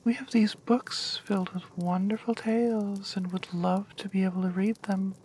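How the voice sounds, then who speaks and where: A woman's voice: mid-range voice, level tone, normal speed, a little mellow, slight background noise, close to an everyday voice, poor audio. Woman doing an eager whispering dwarf.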